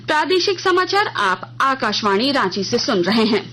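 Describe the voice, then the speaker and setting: A woman's voice: fairly high pitch, big pitch swings, moderate pace, sharp tone. An Indian woman reading a formal, scripted radio news bulletin as the news reader.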